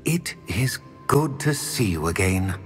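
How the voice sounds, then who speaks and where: An actor playing a wise old wizard portrait, formal and reassuring. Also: male voice, on the low side, very animated, measured pace, soft background music. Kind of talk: video game dialogue.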